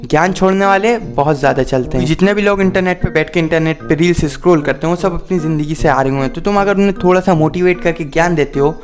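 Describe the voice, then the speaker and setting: A man's voice: on the high side, fast talker, hardly any pauses, soft background music. A man in his early twenties with an Indian accent, hosting a sarcastic, mock-earnest comedy monologue and switching into English for emphasis. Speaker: video host.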